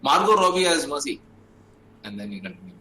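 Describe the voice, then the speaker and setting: A man's voice: on the high side, sing-song, a little fast, bright, forceful tone, a little hiss or hum, rough recording. A man talking casually on a group podcast, with informal, hesitant phrasing. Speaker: podcast panelist.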